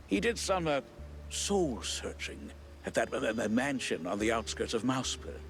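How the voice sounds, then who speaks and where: An actor playing a nervous, glad-handing cartoon politician who stammers and chuckles, in a 1930s-style game. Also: male voice, mid-range voice, big pitch swings, unhurried, a little hiss or hum. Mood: uneasy, helpful. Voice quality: creaky.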